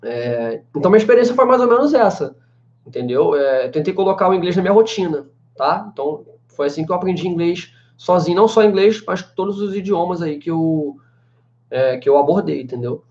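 A man's voice: sits high, animated, brisk, darkish tone. Chatty young man on a lo-fi webcam. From Brazil. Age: 20s. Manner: chatty, many fillers like "né" and "cara". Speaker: language teacher answering live chat. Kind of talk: casual live-stream Q&A. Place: small room indoors with a banner behind. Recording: slightly dull lo-fi webcam sound.

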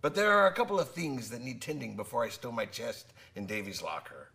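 Crusty old sailor for a radio play, kindly but weary, super gravelly, voice swings up and down. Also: male voice, mid-range voice, lively intonation, fairly quick, pushed and bright. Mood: weary, fatherly. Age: older. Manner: salty old-sailor talk, calling listener laddie. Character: weathered, kindly old sea captain, folksy and weary. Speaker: radio drama actor. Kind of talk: theatrical radio drama acting.

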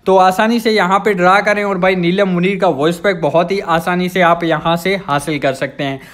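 Young male gaming host, Pakistani accent, high voice, casual explanatory voice-over. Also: fairly even intonation, normal speed, fairly bright tone.

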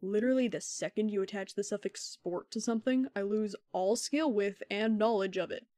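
A performer playing a deadpan, ironic teenage boy in an audio fan drama. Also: medium pitch, a little fast, dry recording, studio quality, natural voice.

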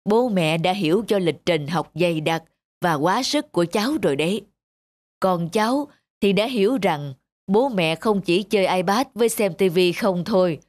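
A woman's voice: lowish voice, lively intonation, normal speed, darkish tone, natural voice. Woman voicing a stern, kindly old Santa Claus. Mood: gentle. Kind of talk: dubbed cartoon storytelling. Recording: music under the voice. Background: background music.

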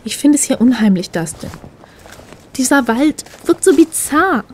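Casting: young girlfriend, uneasy and teasing, high lively voice, horror radio play with music behind. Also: a little slow, a little hiss or hum. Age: young adult. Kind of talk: horror audio drama acting.

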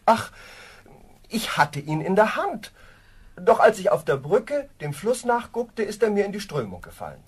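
An actor playing a lazy, naive young peasant son in a fairy-tale audio drama, with a carefree, drawling delivery. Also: male voice, high voice, wildly swooping pitch, measured pace, husky, full character voice. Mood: sheepish.

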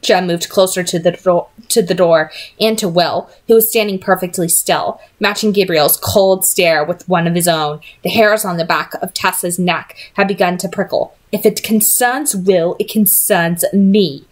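A woman's voice: on the low side, moderate pace, few pauses. A young adult woman reading a fantasy novel aloud as an amateur narrator. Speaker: amateur book reader. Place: small home room, indoors.

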